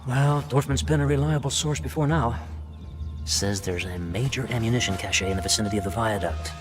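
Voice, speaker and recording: A man's voice: medium pitch, fairly quick, close to an everyday voice. A man playing a genial, shrewd wartime intelligence officer in a game, briefing in a folksy, confiding way. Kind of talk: dramatic game cutscene acting. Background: music.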